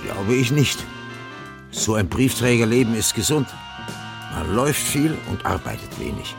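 An actor in a radio play as a chatty, unruffled, slightly odd postman, husky and gravelly, with a dry, matter-of-fact manner. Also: lowish voice, very animated, unhurried, over music, exaggerated voice. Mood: whimsical, unruffled. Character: chatty, odd, unruffled male letter carrier. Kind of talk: radio drama acting.

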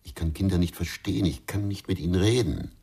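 An actor playing a weary, brooding middle-aged ex-policeman with a drinking past, gravelly and terse, in a radio crime drama. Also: low voice, brisk, mellow, dry recording, heavily acted. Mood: defensive, troubled. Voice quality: gravelly, husky.

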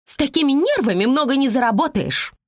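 An overwrought, haughty mother, acted out by a woman reader, with her voice pushed high and shrill. She is snappish, demanding and exasperated, with dramatic swings of pitch in a dry storybook recording. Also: very animated, normal speed, a little mellow, husky, no room sound. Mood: contemptuous.

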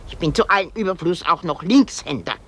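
A woman's voice: lowish voice, animated, brisk, breathy edge. An actress playing an elderly, cantankerous, self-pitying woman in a radio drama, exclaiming and groaning with effort. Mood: fussy.

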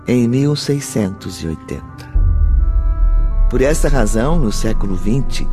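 A man playing a solemn, instructive narrator of a spiritual radio drama, with formal, measured phrasing. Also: medium pitch, warm tone, close to an everyday voice.

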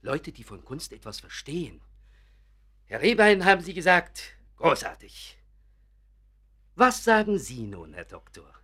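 An actor playing a vain, touchy bookkeeper who fancies himself an artist in a German radio play, self-important, hesitant and insistent. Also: male voice, a little high, big pitch swings, measured pace, pauses often, bright, forceful tone, breathy edge, heavily acted. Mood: boastful, needy.

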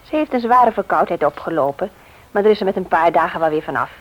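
A woman playing a brisk, caring wife and former nurse in a radio play. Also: fairly low pitch, animated, lo-fi sound. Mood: caring, calm. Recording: noisy old radio play recording.

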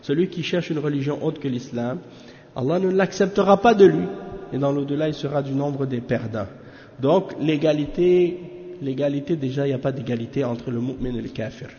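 A man's voice: mid-range voice, fairly quick, mellow. Casual male teacher, echoey lo-fi room. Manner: casual, familiar talk with frequent "hein?" asides. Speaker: religious teacher. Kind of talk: lesson explaining a commentary. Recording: echoey room, dull lo-fi sound.

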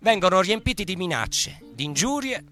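A man playing a militant narrator, declaiming in radio theatre with rhetorical urgency and deliberate phrasing. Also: sits high, very animated, bright, forceful tone, faint music behind, heavily acted. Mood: urgent, heated. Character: militant narrator proclaiming leaflets and chronicling the workers' revolt.

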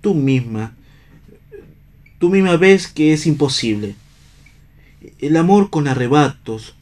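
Disillusioned male stage actor, Argentine accent, fairly high voice, impassioned, bitter, idealistic, radio drama. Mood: earnest, exasperated. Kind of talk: radio theatre reading.

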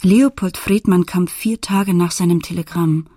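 An actress playing a grown daughter who retells her family's past in measured, matter-of-fact radio play narration. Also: lowish voice, steady tone, dark tone, faint music behind, lightly acted.

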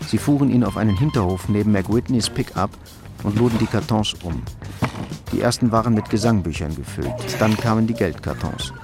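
A man reading scripted narration for a radio crime drama. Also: lowish voice. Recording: loud music behind narration.

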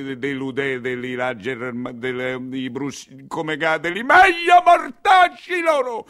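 Casting: frail, sentimental old general, Roman accent, stumbling over words, slow and swooping, radio play. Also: male voice, medium pitch, bright, forceful tone, pitched above the performer's own voice. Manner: halting, stammering, repeating himself. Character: doddering, sorrowful hundred-year-old general, a sentimental father. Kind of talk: theatrical radio monologue acting.

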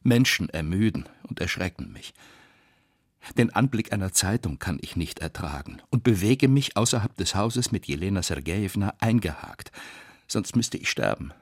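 A persecuted Russian writer, worn down and bitter, reading his letters for radio. The voice sits deep and rough with huskiness, in a very dry recording. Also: expressive, moderate pace, no room sound, exaggerated voice. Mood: weary dread.

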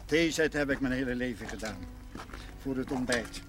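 An actor playing a gentle, modest older retired schoolteacher in a naturalistic seventies television drama, hesitant but earnest. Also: male voice, medium pitch, normal speed, close to an everyday voice. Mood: homely, wistful. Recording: 1970s television soundtrack with music.

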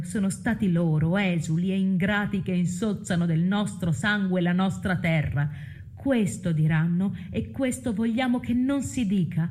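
Woman playing upright townspeople's chorus, soft dark timbre, solemn, self-righteous, measured and insistent, theatrical radio play. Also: mid-range voice, lightly acted.

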